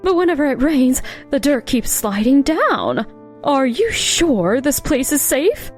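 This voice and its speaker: Woman acting a high-voiced, fed-up frightened tenant. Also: big pitch swings, unhurried, faint music behind. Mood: worried, fed up. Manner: worried, complaining, folksy wording. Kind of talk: scripted manga dub acting.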